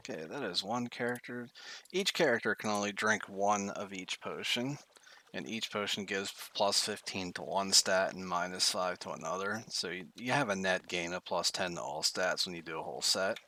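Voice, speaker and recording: A man's voice: sits low, moderate pace, sharp tone. A man streaming a game tutorial from his bedroom, thinking aloud with many fillers, his voice getting tired.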